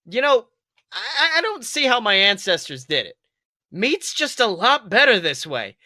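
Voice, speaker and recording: A man plays a young male fox from an animal adventure story. He is a hot-headed, brave wisecracker who speaks emphatically in a bright, higher-pitched voice. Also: sing-song, a little slow, no room sound, clean studio sound, full character voice. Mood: jokey. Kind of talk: storytelling character voice.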